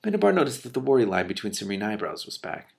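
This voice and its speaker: A man's voice: lowish voice, sing-song, very fast, gravelly, darkish tone, a little hiss or hum. A man reading a story aloud expressively, with some casual commentary of his own. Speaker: book reader and commentator.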